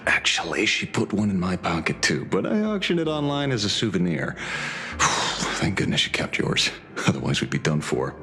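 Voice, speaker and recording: An actor playing a wry, world-weary detective in a video game, with a dry, theatrical, nonchalant manner. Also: male voice, sits low, huge pitch swings, moderate pace, pushed and bright, big-room sound, faint music behind, full character voice.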